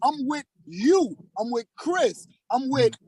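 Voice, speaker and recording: A man's voice: extremely high pitch, lively intonation, takes it slowly, darkish tone, breathy edge, no room sound. A man in his forties, a former student of the host, talking reflectively and filling his speech with "like" and "you know". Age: 40s. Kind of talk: casual reflective chat.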